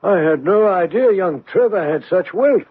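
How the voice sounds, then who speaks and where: Good-humoured gentleman sidekick, high voice, formal fluttery manner, radio mystery, narrowband muffled sound. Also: expressive, normal speed, breathy edge. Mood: fussy, eager. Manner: fluttery exclamations, chuckling, formal old-fashioned phrasing. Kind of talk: radio mystery drama acting.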